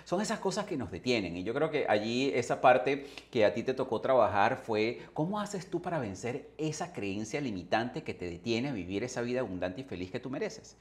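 A man's voice: medium pitch, sing-song, brisk, hardly any pauses. A man in his forties hosting an interview from a small studio, affirming his guest and asking long, searching questions. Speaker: host. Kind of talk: conversational interview. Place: studio with branded backdrop. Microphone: studio microphone on arm.

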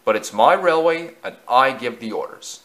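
A man's voice: mid-range voice, animated, a bit bright, natural voice. A man voicing a stern, authoritative male railway director in a storybook, speaking formally and firmly. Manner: formal, firm, measured orders.

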